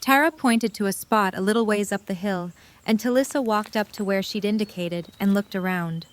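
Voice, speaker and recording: A woman's voice: mid-range voice, moderate pace. A woman reading scripted story narration for an audio drama.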